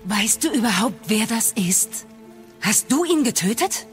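Casting: stern but caring witch, mid-pitched, unhurried, commanding, audio drama with faint music. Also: animated. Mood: calm, focused. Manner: measured, commanding. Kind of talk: German audio drama acting.

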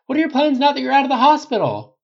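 Man playing a news reporter, pushy, urgent, high raised voice, calling out, slightly dull recording. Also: very animated, fast, husky, heavily acted. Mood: eager, calling out. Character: pushy press reporters shouting questions at a hero.

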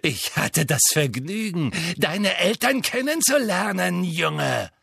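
A man voicing a cruel, manic dark witch who taunts her captives in a shrill, drawn-out way. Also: big pitch swings, creaky, slightly rough, dry recording, studio quality, heavily acted. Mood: gloating.

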